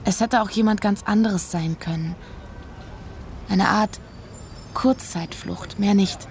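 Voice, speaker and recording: A woman's voice: mid-range voice, slow pace, slight background noise. An actress playing a detached, unsentimental woman who recounts her past in a dry, matter-of-fact way, as literary radio drama narration.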